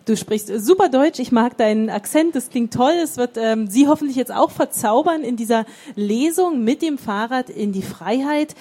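Upbeat lady host, warm mellow voice, introduces the author. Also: fairly high pitch, moderate pace. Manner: upbeat promotional. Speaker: publisher presenter. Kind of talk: event moderation. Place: fair hall stage.

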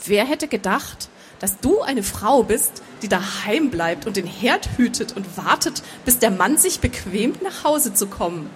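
A woman voicing a calm, caring man in a storybook, quietly reasoning and insistent. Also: mid-range voice, measured pace, slight background noise. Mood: wry teasing.

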